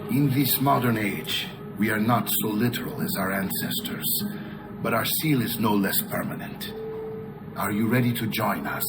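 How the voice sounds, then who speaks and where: An actor playing a solemn middle-aged assassin mentor, steady and ceremonial, in a video game. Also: male voice, lowish voice, moderate pace, natural voice. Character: solemn older Italian uncle, seasoned assassin mentor. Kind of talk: dramatic video game voice acting. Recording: loud music behind the voice.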